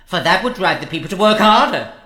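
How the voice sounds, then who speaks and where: Man voicing a cunning courtier, oily and flattering, swoops all over, radio comedy. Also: high-pitched, fast talker, roomy sound, exaggerated voice. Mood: scheming. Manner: oily, flattering, old-fashioned phrasing. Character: scheming fawning greedy male royal lord.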